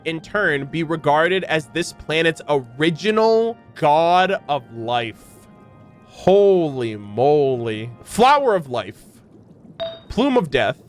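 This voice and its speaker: A man doing excited reaction commentary as a game streamer, reading aloud and commenting in an animated, exclamatory way. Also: a little high. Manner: animated, exclamatory, swears freely. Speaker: streamer reacting to a video game's lore.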